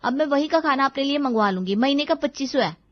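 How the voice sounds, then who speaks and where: Woman voicing a spoiled, high-pitched sulky daughter. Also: expressive, fast talker, dry recording, lo-fi sound. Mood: demanding. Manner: petulant, snapping, complaining. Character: spoiled, sulky teenage daughter, defiant and whiny. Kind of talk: cartoon moral-story acting.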